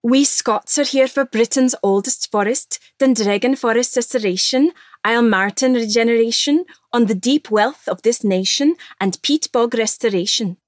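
A woman's voice: fairly high pitch, moderate pace, no room sound. Woman, plain delivery, scripted roll call, dry close sound. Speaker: representative.

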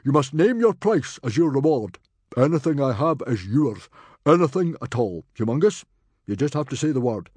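A man voicing a proud, booming middle-aged Viking chief who bellows and blusters. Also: very animated, normal speed, darkish tone, breathy edge, no echo. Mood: grand generous.

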